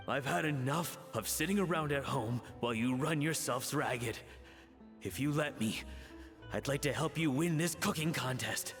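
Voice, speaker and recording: A man's voice: medium pitch, a bit bright, lightly acted. A man voicing an earnest, humble middle-aged father and chef in a video game, apologetic and unsure of himself. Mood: grateful.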